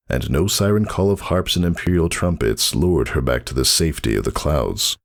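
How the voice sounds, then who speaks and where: Male story narrator, bottomless bass with a creaky edge, dramatic scripted reading.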